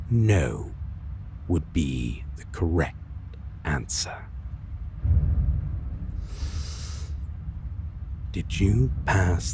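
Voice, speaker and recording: Very deep, slow vampire lord. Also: male voice, noisy background, heavily acted. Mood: sardonic, indignant. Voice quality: deep, gravelly, breathy at times. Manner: slow, theatrical, savoring words with sinister amusement. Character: taunting, arrogant male vampire lord, formerly a hunter. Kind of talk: dark fantasy audio roleplay monologue.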